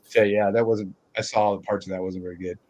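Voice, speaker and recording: A man chatting casually as a panelist on a film discussion, in a halting way with phrases that trail off. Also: lowish voice, level tone, a little mellow, dull audio. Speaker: podcast panelist discussing films. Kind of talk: casual chat.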